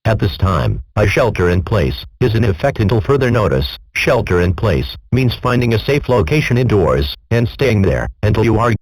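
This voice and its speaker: Male announcer, low pitch, lively intonation, formal and measured, scripted emergency alert delivery. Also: no room sound, poor audio.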